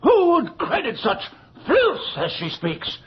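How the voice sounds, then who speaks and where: A pompous, slow-speaking stern magistrate. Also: male voice, very high-pitched, wildly swooping pitch, full character voice. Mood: scornful. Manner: pompous, formal, domineering. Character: stern, haughty magistrate of the king, contemptuous of peasants. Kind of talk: 1980s radio horror drama acting. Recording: dull, noisy old radio recording.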